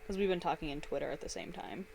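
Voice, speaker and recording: A woman's voice: low-pitched, quick pace, gravelly, a little mellow, a little hiss or hum. A young woman chatting casually while drawing on a live stream, rambling through stories and laughing often.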